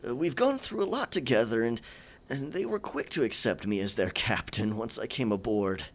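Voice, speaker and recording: A man playing a theatrical, sentimental pirate captain in a scripted audio roleplay, with a gravelly voice, archaic pirate talk and a halting, stammering dramatic delivery. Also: medium pitch, animated. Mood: wistful, defensive.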